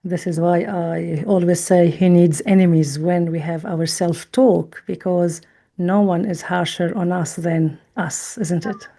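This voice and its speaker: A woman hosting a podcast, asking slow, supportive questions of her guest. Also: lowish voice, fairly even intonation, mellow. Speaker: podcast host. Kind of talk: interviewing.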